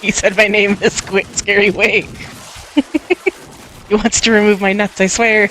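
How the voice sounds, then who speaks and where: A woman's voice: mid-range voice, little pitch movement, normal speed, fairly bright tone, rough recording. A woman hosting a casual game stream, teasing and joking with friends over faint game noise. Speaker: livestream gamer and host. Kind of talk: casual gaming chat.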